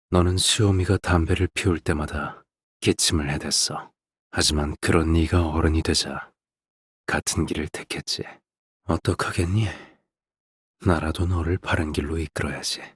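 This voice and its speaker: A very low, husky father figure from a video game. He speaks softly and deliberately, as if reading a storybook to his child. Beneath the gentle coaxing sits a controlling, quietly menacing temper. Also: exaggerated voice. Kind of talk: scripted game voice acting.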